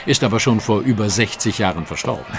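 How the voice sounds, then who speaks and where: An actor playing an astute, self-assured gentleman detective in an audio drama, precise and dryly teasing. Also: fairly low pitch, expressive, quick pace, noisy background. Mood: dry, knowing. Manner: precise, explanatory, dry. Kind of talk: German audio drama dialogue.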